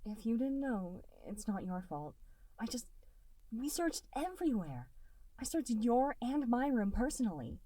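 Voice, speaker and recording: A woman's voice: on the high side, very animated, warm tone, voice pushed up. A woman doing an earnest, worried caring woman. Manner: earnest and deliberate.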